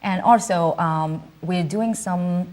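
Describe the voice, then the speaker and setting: A woman singer from southern China, a native Cantonese speaker, talking in a studio interview with many pauses and fillers. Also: fairly low pitch, darkish tone. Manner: hesitant, many ums and uhs, laughs easily. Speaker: singer, guest. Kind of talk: casual interview chat.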